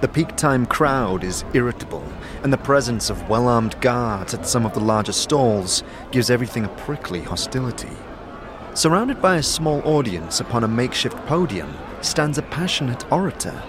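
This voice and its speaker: A man's voice: medium pitch, sing-song, normal speed, background noise. A man reading scripted second-person narration for a fantasy audio drama.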